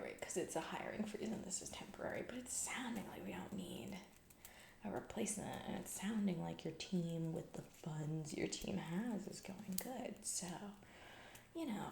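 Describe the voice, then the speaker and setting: A woman playing a callous, self-absorbed, distracted female CEO in a soft-spoken ASMR comedy roleplay, breathy, rambling and trailing off. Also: medium pitch, reverberant, a little hiss or hum. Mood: distracted, vague. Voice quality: breathy soft voice with heavy vocal fry. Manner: rambling, trailing off, vague filler-heavy corporate talk. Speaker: ASMR performer.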